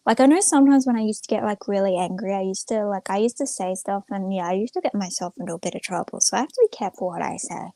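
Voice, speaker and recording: A woman's voice: medium pitch, fast talker, few pauses, dark tone, no room sound. A young woman chatting casually to viewers on a livestream in a rambling, informal, self-effacing way. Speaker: live streamer.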